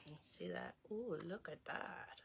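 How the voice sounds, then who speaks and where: A woman's voice: lowish voice, a little hiss or hum. A woman hosting a story time, reading aloud to children and speaking to her listeners directly. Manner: slow, clearly phrased reading, addresses young listeners directly. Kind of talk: children's read-aloud with casual asides.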